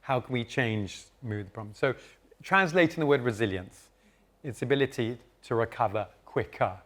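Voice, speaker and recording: Calm man moderating a panel. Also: mid-range voice, normal speed. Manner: calm, advisory, explanatory. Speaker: host and moderator. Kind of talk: panel moderation and advice at a live event. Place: conference stage.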